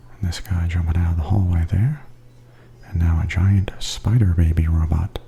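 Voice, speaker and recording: A man giving softly spoken ASMR tour commentary in a breathy half-whisper, calmly and gently describing things as a host. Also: low voice, slight background noise. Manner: calm, unhurried, gently descriptive.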